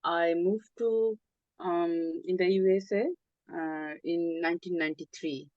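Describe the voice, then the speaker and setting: A woman from Bangladesh, a native Bengali speaker, talking as a guest in an interview, hesitant with many pauses and fillers. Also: lowish voice, fairly even intonation, no echo, muffled recording. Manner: hesitant, many ums, halting phrasing. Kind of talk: interview conversation.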